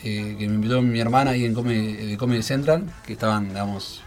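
A man's voice: fairly low pitch, fast talker, faint music behind. A man in his thirties with a Rioplatense Argentine accent, a stand-up comedian chatting casually as a guest, laughing often and rambling with fillers. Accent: Rioplatense Spanish. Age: 30s. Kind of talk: casual radio chat.

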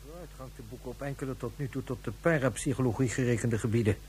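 A man acting in a radio play as an authoritative psychiatrist and director, formal, deliberate and pensive. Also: medium pitch, a little mellow, a little hiss or hum, close to an everyday voice. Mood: sceptical, pondering. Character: measured, authoritative male psychiatrist and director, sceptical but fair.

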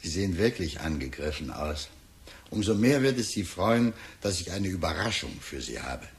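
Deep, wily police detective, animated delivery. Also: male voice. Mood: smug, teasing. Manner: deliberate, insinuating, with musing hums and pauses. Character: wily, talkative male police detective, needling and falsely sympathetic. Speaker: actor in a radio crime drama. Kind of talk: German radio drama acting.

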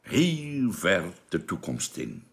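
Space crewman, deep voice, animated intonation, patient explainer, radio drama. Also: slow pace, exaggerated voice. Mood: calm, certain. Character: knowing male space crewman explaining time travel.

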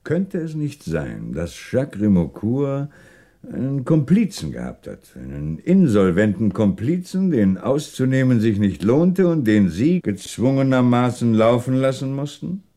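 A man's voice: medium pitch, sing-song, a little slow, dark tone. A male actor playing a sarcastic, relentless French police commissioner who probes pointedly in a 1960s German radio crime drama. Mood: probing, sardonic. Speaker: police commissioner interrogating suspects.

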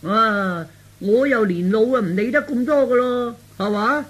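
A woman's voice: fairly high pitch, unhurried, breathy edge. An actress playing an easygoing elderly mother in a radio drama, speaking colloquial, exclamatory Cantonese-accented dialogue. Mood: resigned, easygoing. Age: older. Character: elderly mother, easygoing but curious about her grown son.

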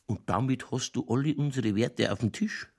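An actor playing a middle-aged, drunken, ranting Bavarian police chief in a radio crime drama, rambling and crude in a Lower Bavarian accent. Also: male voice, moderate pace, a little mellow, natural voice. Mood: brooding. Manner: rambling, folksy, crude, tipsy.

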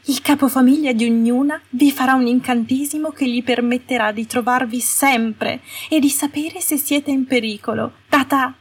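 Woman voicing a sugary, cruel female official, high-pitched. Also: fairly even intonation, moderate pace, few pauses, slightly rough. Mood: smugly sweet. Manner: coaxing, falsely sweet, condescending. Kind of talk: audiobook character voice. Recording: roomy echo, distant from the mic.

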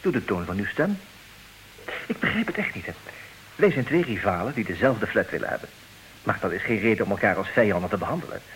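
Suave, animated gentleman rival. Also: on the low side, quick pace, rough recording. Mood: teasing politeness. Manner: mock-courteous, formal, teasing. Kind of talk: comic radio play acting. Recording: hissy old radio play recording.

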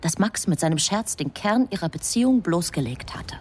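An actress playing the composed, knowing narrator of a literary radio drama, speaking in a measured, deliberate way. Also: female voice, lowish voice, lively intonation, a little mellow, slight background noise, natural voice.

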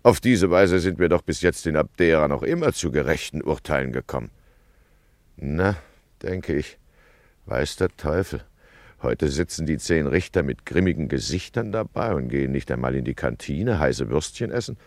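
A fussy town judge in an old radio play, grumbling through his account. The voice is deep and dry, swinging between low mutters and raised calls for order. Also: expressive, normal speed, no room sound. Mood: rueful. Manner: grumbling, storytelling narration. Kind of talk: 1950s German radio play acting.

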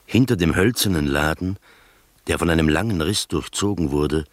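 An actor in a radio drama playing a skeptical gentleman who narrates his uncanny adventure in a measured, literary manner. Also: low-pitched, dry recording. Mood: measured, suspenseful.

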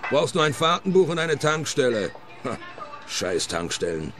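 A man's voice: mid-range voice, very animated, moderate pace, close to an everyday voice. An actor playing a bitter male trucker who grumbles and mutters curses in a radio play. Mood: bitter, grumbling. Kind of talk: German-language radio play acting. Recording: clear outdoor urban background noise. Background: urban ambience noise.

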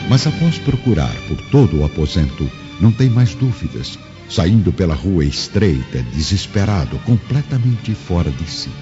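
A man's voice: low-pitched, sing-song, normal speed, hardly any pauses. A man with a Brazilian accent narrating a scripted radio drama in a solemn, dramatic manner. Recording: dull lo-fi audio with clear music bed.

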